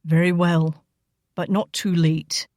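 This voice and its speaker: A stern older father, voiced by a female narrator, paternal and firm. He chides gently in an audiobook character read, recorded close and dry. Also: lowish voice, little pitch movement, takes it slowly, dry recording. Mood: chiding, indulgent. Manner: firm, chiding.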